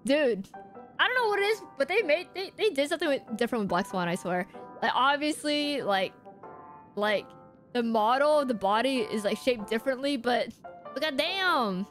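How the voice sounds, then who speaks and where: A young woman game streamer giving casual, excitable, expressive live reaction commentary. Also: high voice, moderate pace. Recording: game music behind voice. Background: game music.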